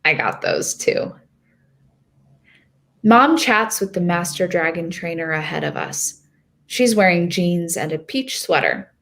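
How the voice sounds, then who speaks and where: Woman reading as a slow-spoken, hopeful young girl. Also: fairly low pitch, animated, vocal fry, fairly bright tone, exaggerated voice. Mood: narrating, earnest. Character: hopeful, nervous young girl narrating her dragon tale. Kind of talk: first-person storybook narration.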